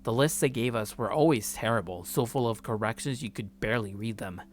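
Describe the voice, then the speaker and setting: A man's voice: mid-range voice, fairly quick, slight background noise. A man hosting a let's play, reading a visual novel's first-person narration aloud.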